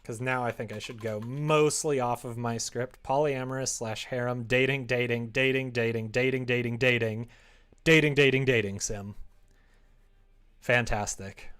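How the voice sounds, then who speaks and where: A man's voice: medium pitch, fairly even intonation, normal speed, a bit bright, clean tone. Man musing casually on a livestream. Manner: musing aloud, joking, reads chat and drafts aloud. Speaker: streamer writing a visual novel. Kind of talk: casual livestream chat while writing. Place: indoors at a computer desk.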